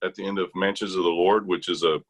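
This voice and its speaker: A man's voice: sits low, fairly even intonation, fast talker. An American man chatting casually as an interview guest, thinking aloud in loose, broken phrases. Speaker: interview guest, bass gospel quartet singer.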